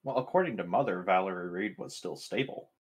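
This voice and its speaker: A man with a low voice plays a tabletop roleplaying game. He speaks casually and methodically, hesitating as he works through his questions. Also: a little fast, breathy edge.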